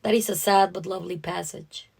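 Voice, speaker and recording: Woman podcast co-host with creaky voice. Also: on the low side, steady tone, a little fast, a little mellow. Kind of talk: conversational story discussion.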